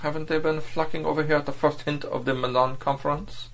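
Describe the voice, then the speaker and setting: A man's voice: on the high side, flat delivery, brisk, pitched above the performer's own voice, close to an everyday voice. A man voicing a suave, cynical foreign diplomat who mocks and threatens his agent. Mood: menacing. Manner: mocking, sneering, overbearing.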